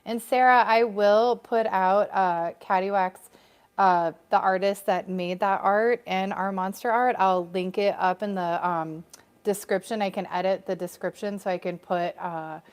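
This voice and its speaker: A woman's voice: medium pitch, steady tone, unhurried. Chatty upbeat American woman. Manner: chatty, upbeat, reads out viewer comments. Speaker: co-host of a live stream. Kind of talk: casual live-stream chat.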